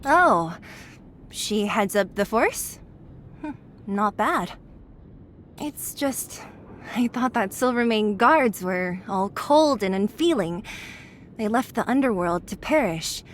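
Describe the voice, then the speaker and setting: A woman's voice: medium pitch, expressive, takes it slowly, pauses often, a little hiss or hum. A woman voicing a tough, spirited young resistance fighter in a video game, blunt, casual and direct. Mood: curious, guarded. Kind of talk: video game story dialogue. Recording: faint music under the voice.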